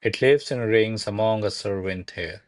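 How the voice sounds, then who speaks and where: A man's voice: fairly low pitch, normal speed, no echo, close to an everyday voice. A man playing a courtly, ardent servant in a classical radio play, speaking in a formal, florid, deferential manner. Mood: deferential, defensive. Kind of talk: stage-style radio play acting of classical verse.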